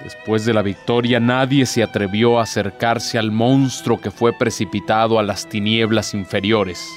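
A man's voice: lowish voice, steady tone, normal speed, lightly acted. Guy doing a bitter proud devil in a radio play, sarcastic n theatrical, bit of music behind. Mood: incredulous, bitter. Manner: theatrical, rhetorical, sardonic.

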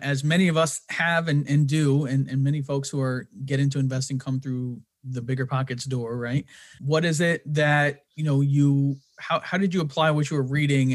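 A man hosting a podcast, upbeat and enthusiastic, often restarting his phrases as he asks questions. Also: mid-range voice. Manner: upbeat, with frequent stammered restarts. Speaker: podcast host.

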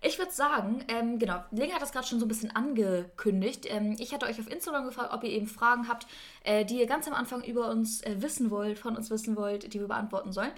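A woman's voice: on the high side, fast talker. A 21-year-old woman co-hosting a podcast, chatting casually in an enthusiastic, gushing and giggly way. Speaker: podcast co-host.

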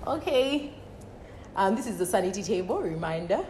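A young woman from Uganda hosting a casual, tipsy chat with friends, animated and giggly in slangy English. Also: mid-range voice, moderate pace. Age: young adult. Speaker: podcast host. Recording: roomy echo, faint background noise.

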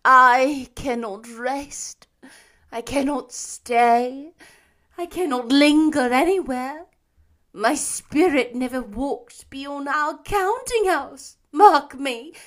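A woman voicing a mournful male ghost bound in chains, booming and drawn out in a storybook reading. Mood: wailing, mournful. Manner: loud, drawn-out, lamenting delivery.

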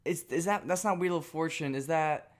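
A man's voice: a little high, normal speed. Rambling young male podcast co-host. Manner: rambling, with many "likes" and laughs. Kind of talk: casual podcast chat.

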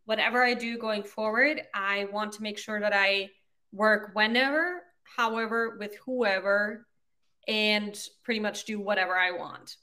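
A woman in her thirties from Austria, a native German speaker, talking through her career in a casual interview with relaxed, reflective speech. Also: mid-range voice, a little slow. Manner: relaxed, reflective, uses "like" and "you know" often. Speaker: podcast guest, agency founder.

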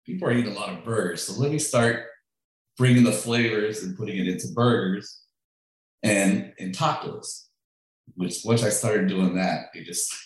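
A man from Peru, a chef who runs a food truck, answering interview questions in a relaxed, chatty way, often restarting his sentences. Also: fairly low pitch, moderate pace. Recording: very echoey room.

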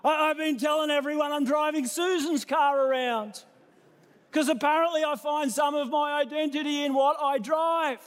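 High-pitched Australian male preacher. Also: fairly even intonation, normal speed. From New South Wales, Australia. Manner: conversational, self-deprecating, repeats phrases in lists. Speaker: pastor. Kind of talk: sermon to a congregation. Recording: long reverberant hall sound.

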